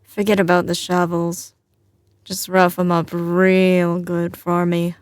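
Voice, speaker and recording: A slow-spoken mafia boss girlfriend, acted by a woman, with a light Southern US drawl. She is teasing and doting yet ruthless, sliding between command and tenderness in a close, dry ASMR audio roleplay. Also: on the low side, monotone, no room sound. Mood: cold, commanding. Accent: slight Southern US drawl. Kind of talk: ASMR audio roleplay, intimate scripted acting.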